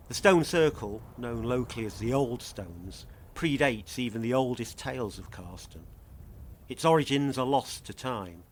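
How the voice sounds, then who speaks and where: Male narrator, lowish pitch, measured, portentous, scripted true-crime mystery, soft music underneath. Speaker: narrator, host.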